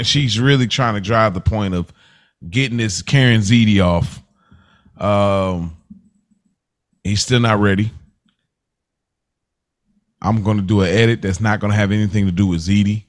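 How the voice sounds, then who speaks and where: A man's voice: on the low side, normal speed, long pauses. Laid-back man recapping a show. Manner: rambling, loose, chuckles often. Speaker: podcast co-host. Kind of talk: casual recap chat.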